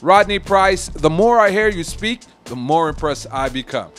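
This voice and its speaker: A man's voice: on the high side, lively intonation, moderate pace, a bit bright, faint music behind. A middle-aged man hosting a TV show, playful and sing-song, talking into a handheld mic indoors. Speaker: TV show host. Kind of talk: energetic TV host chat. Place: bookstore cafe indoors.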